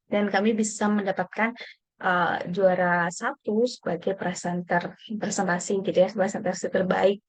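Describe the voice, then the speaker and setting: A young woman with a Javanese-tinged Indonesian accent, talking about herself for a workshop in a casual, chatty way with frequent hesitations. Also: mid-range voice. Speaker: workshop participant. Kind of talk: casual personal self-introduction.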